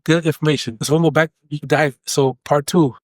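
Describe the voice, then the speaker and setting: A man's voice: on the high side, steady tone, fairly quick, no echo. Male podcast host, dry sound. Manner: informal, repeats short acknowledgements and questions. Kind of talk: casual interview chat.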